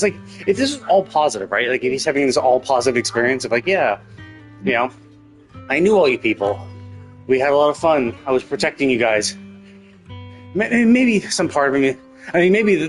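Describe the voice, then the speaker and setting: A man streaming a game, giving casual live commentary in a rambling, repetitive way as he thinks aloud. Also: medium pitch. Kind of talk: casual live commentary, speculating on a game's story. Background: music with plucked guitar.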